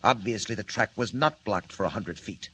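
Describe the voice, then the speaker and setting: An actor playing a cold, imperious criminal mastermind in 1940s radio style, speaking formally and condescendingly. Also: male voice, on the low side, normal speed. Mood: scornful. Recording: old radio recording under loud music.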